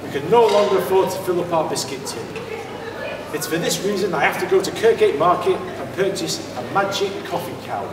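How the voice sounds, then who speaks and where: Man playing high-voiced self-important manager, echoey. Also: lively intonation, brisk, distant mic, crowd noise. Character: male students' union manager, self-important schemer. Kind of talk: amateur pantomime stage acting. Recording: echoey hall from afar, background noise.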